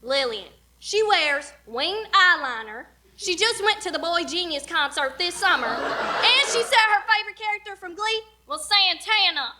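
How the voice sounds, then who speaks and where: A woman playing a bossy, blunt teenage girl, brisk and sarcastic as she urges on a friend in a comic stage play. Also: very high-pitched, expressive, sharp tone, slight background noise, heavily acted. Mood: emphatic, teasing.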